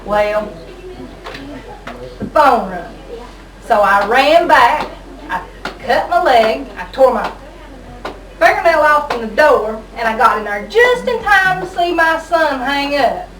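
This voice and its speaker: A woman performing an amateur comic skit monologue in a halting way, stumbling over her lines. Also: sits high, lively intonation, a bit bright. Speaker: amateur puppet show performer. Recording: distant noisy echoey home video.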